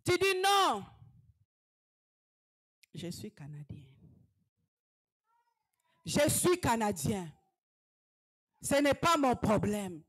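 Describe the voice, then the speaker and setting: A woman evangelist, preaching a sermon fervently, dropping to hushed whispers and rising to shouted exhortations. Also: fairly high pitch, big pitch swings, very slow, long pauses, husky.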